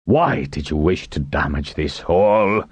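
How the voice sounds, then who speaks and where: A man's voice: fairly low pitch, wildly swooping pitch, drawn-out words, pitched above the performer's own voice, exaggerated voice. A man voicing a furious male craft master in a storybook, with a harsh tone. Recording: roomy, dull muffled recording.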